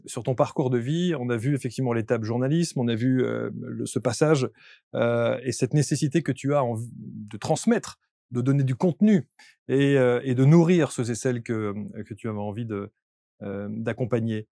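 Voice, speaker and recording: A man's voice: medium pitch, normal speed, vocal fry, mellow, dry recording, clean studio sound. A man hosting an interview, asking long, probing questions and murmuring along as his guest answers. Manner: probing, long winding questions, attentive back-channel murmurs. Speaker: interview host.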